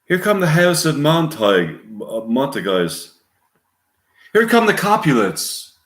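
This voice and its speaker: Man putting on an ardent English stage lover, high-flown. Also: very animated, a little slow, fairly bright tone, echoey room. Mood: ardent, theatrical. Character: romantic young English stage lover reciting Shakespeare. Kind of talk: theatrical stage tragedy.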